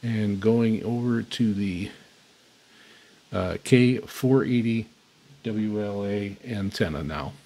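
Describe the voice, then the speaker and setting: A man giving an unscripted hands-on demonstration as host, speaking haltingly with very frequent uhs. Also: fairly low pitch, warm tone. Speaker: host demonstrating shortwave antennas. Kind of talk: unscripted product demonstration commentary. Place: indoors at a radio desk.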